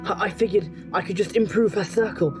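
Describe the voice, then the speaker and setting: A man's voice: high-pitched, brisk, over music, natural voice. A man playing a naive young amateur magician in an audio drama, desperate and stammering. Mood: panicked. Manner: pleading, stammering.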